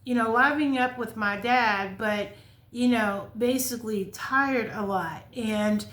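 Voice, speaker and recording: A woman's voice: mid-range voice, a bit bright, a little hiss or hum. An American woman in her fifties from California, telling personal stories in a candid, casual, conversational way. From California, United States. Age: 50s. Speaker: vlogger sharing life stories. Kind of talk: casual personal storytelling to camera. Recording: roomy, echoing sound.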